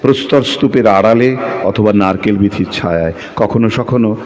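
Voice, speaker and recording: A man's voice: on the low side, normal speed, a little mellow, natural voice. An actor playing a shy, lonely young man who speaks hesitantly and repeats himself in an audio drama. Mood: wistful. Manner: hesitant, stammering, repeats phrases. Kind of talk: Bengali audio drama acting with narration. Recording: clearly noisy recording.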